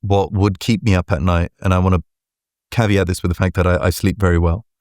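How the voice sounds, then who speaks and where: A man with a deep voice answering interview questions as a guest, measured and deliberate, often checking in with "right?". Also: level tone, darkish tone, no echo, clean studio sound. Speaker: venture capital partner, guest.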